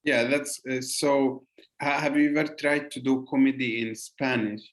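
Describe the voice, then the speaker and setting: A man hosting a casual podcast interview. He asks questions in a halting way, stopping to rephrase them mid-sentence. His pitch is ordinary for a man. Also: steady tone. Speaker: podcast host.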